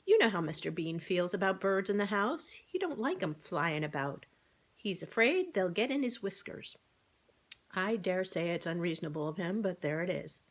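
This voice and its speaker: A woman voicing a homely, kindly but firm farmwife in a children's storybook, folksy and brisk. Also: on the low side, very animated. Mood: brisk, kindly scolding. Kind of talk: children's storybook read-aloud.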